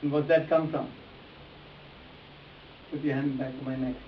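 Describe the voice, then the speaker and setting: A man's voice: mid-range voice, level tone, a little slow. A man teaching face reading in a live demonstration, addressing volunteers directly and repeating his instructions as he goes. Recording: echoey, distant mic, dull sound with hiss.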